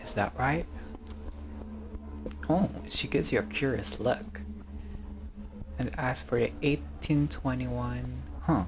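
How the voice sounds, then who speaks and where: A man's voice: mid-range voice, takes it slowly, long pauses. A man narrating a visual novel playthrough, reading the text aloud and adding casual commentary as a gamer.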